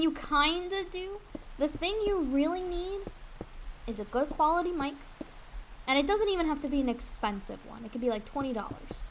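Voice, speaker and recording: Young female game streamer, higher-pitched, candid rambling chat, some background noise. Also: rough recording. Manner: candid, rambling, swears casually. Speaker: streamer and aspiring voice actress. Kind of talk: casual livestream chat while gaming. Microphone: USB desk condenser mic.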